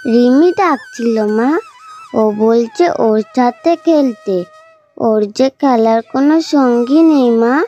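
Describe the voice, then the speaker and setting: Someone doing a sad little ghost girl, calm and pleading, really slow, muffled cartoon audio with music. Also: mid-range voice, natural voice. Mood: innocent, wistful. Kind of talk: cartoon horror story acting. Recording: dull lo-fi sound, soft music behind.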